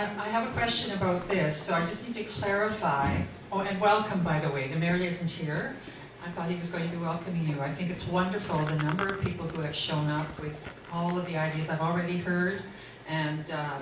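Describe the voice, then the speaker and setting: An older woman, a local councillor, speaking at a community meeting in a friendly, slightly hesitant way and asking questions. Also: fairly low pitch. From Canada. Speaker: municipal councillor. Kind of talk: public meeting remarks. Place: community hall. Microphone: distant room mic. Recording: echoey hall from far off, noisy.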